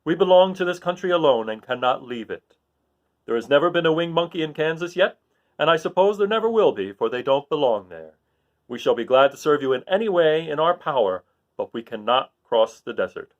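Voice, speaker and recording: A man's voice: on the high side, very animated, brisk, lo-fi sound, pitched above the performer's own voice. A man voicing a dignified winged monkey king in a children's storybook, firm and courteous, with formal, emphatic delivery. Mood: firm refusal. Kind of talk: children's storybook read aloud.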